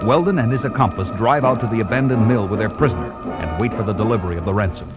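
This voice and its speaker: An actor playing a dramatic adventure narrator, reading scripted story narration in an emphatic, suspenseful manner. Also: male voice, fairly low pitch, quick pace, loud music behind the voice, close to an everyday voice.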